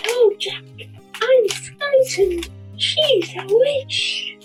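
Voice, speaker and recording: A woman's voice: very high voice, lively intonation, very slow, soft background music, full character voice. A woman voicing a weary, nervous little girl in a children's puppet play. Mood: frightened.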